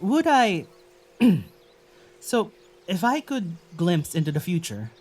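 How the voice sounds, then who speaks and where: Slow, mellow, hesitant young traveler. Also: mid-range voice, huge pitch swings, full character voice. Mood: wary, curious. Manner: hesitant, musing, halting. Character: gentle, wary young traveler conversing with an unseen spirit. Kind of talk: naturalistic audio drama monologue.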